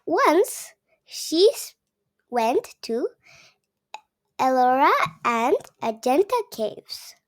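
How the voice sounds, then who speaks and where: A woman's voice: sits high, sing-song, drawn-out words, pauses often. A seven-year-old girl from Gujarat with an Indian accent, reading a story aloud and explaining it simply to viewers like a young teacher. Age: child, seven years old. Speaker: young channel host.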